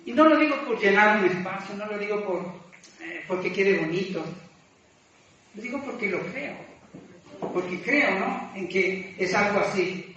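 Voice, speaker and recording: A man preaching informally, exhorting and confessing, halting and self-deprecating, often repeating his phrases. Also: high-pitched, lively intonation, pushed and bright, a little hiss or hum. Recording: echoey room, distant mic, muffled, music behind.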